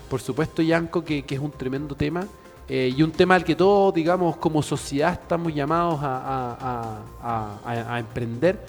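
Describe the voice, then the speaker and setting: Earnest man, a little high-voiced, speechlike, in a reverberant studio with faint music behind. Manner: earnest, speechlike, with many fillers.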